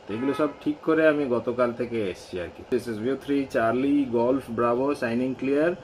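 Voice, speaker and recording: A man's voice: medium pitch, steady tone, measured pace, slight background noise. A man giving a casual amateur radio check-in over a shortwave link.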